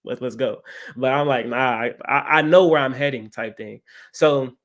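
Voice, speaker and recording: A man's voice: medium pitch, normal speed. A 24-year-old man, an interview guest who teaches about credit, talking casually and often repeating himself. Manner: repetitive, leans on "right?".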